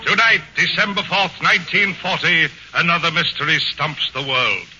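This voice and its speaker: A man's voice: a little high, moderate pace, over music. A male announcer giving mock-dramatic scripted narration for a 1940s radio comedy. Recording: muffled 1940 radio broadcast, faint hiss.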